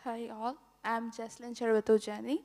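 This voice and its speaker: A young woman with an Indian accent talks informally as a panelist. Her voice is somewhat high. Long hall reverb trails her words. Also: level tone, normal speed, a little mellow. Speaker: PhD student panelist. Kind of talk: informal self-introduction on a panel. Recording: reverberant hall over microphone.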